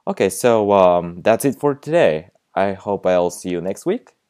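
Japanese-accented guy doing a chill podcast, low clean voice, lots of likes and ums. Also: animated, a little mellow, smooth. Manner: halting, searches for words with frequent "like" and "um". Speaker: podcast host. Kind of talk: casual solo podcast tips.

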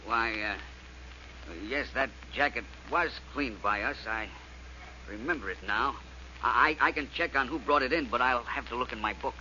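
A man's voice: medium pitch, normal speed, sharp tone. An actor playing a nervous, obliging male shopkeeper in a 1940s radio detective drama, hesitant and stammering. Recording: muffled, noisy old radio recording.

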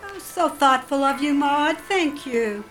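A woman's voice: high-pitched, expressive, slow pace, a bit bright, soft background music, full character voice, low-quality recording. A woman playing a polite, guarded middle-aged friend with something to hide, speaking hesitantly in an audio drama. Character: polite, guarded woman hiding an affair from her old friend.

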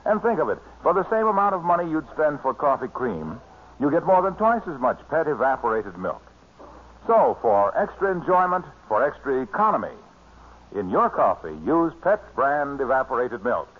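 An American man working as announcer, delivering scripted introductions and sponsor pitches in 1940s radio style. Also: very animated, normal speed, slightly rough. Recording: tinny vintage radio broadcast recording.

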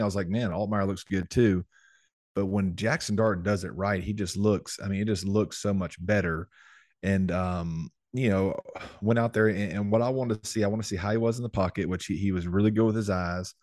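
A man's voice: on the low side, darkish tone, dry recording, studio quality. A man in his thirties, a former football lineman, co-hosting a sports podcast in a laid-back, rambling way. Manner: laid-back, rambling, many fillers and restarts. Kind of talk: casual sports chat.

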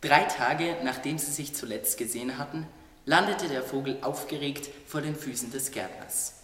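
The sound is echoey and slightly distant, as in a hall. A man with a medium-pitched voice narrates a scripted story for a radio play. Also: moderate pace, fairly bright tone.

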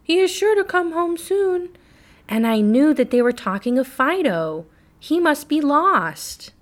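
An earnest little rag doll girl, as played by a woman reading a storybook, worried yet brave. The voice sits higher and carries a caring, heartfelt tone. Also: very animated, unhurried. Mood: worried, sad.

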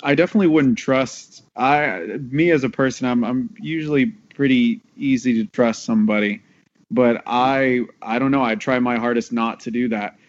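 A man's voice: medium pitch, moderate pace. A man on a panel chatting in a laid-back, rambling, casual way. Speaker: voice actor on a panel. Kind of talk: casual chat. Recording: dull lo-fi audio.

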